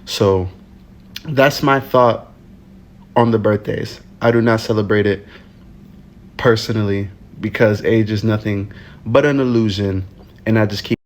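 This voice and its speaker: A man's voice: fairly low pitch, a little slow, long pauses, slight background noise. A man in a casual, conversational monologue who keeps checking in with the listener. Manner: conversational, repeats "you feel what I'm saying?".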